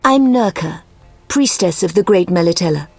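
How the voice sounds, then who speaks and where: An actress playing a stern, motherly priestess healer in a video game, with a raspy, creaky voice. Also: lowish voice, expressive, moderate pace, darkish tone, no room sound, faint music behind. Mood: firm, caring.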